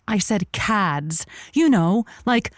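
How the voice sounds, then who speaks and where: A woman's voice: mid-range voice, expressive, takes it slowly, no room sound, studio quality. A woman playing a theatrical, daffy love-advice host in a comedy radio show. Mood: earnest advice. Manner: theatrical, punning, coaxing.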